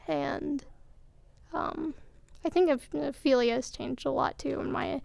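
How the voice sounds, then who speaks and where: A young woman, an actor, talking reflectively in an interview in a halting, thoughtful way. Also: high-pitched, fairly even intonation, vocal fry, darkish tone. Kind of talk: reflective interview talk about a production.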